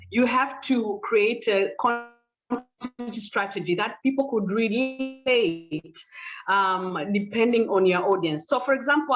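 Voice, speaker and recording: A woman's voice: medium pitch, a bit bright. A woman speaking on a conference panel over a video call, earnest and giving examples from her own work. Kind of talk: conference talk. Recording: distant, lo-fi call audio.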